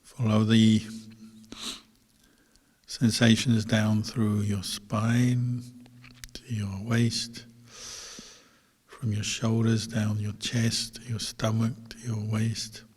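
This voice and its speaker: A man teaching as a Buddhist monk, guiding a meditation in measured short phrases with long pauses between them. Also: fairly low pitch, little pitch movement, a little mellow, slightly rough. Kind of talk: guided meditation instruction. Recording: long hall reverb.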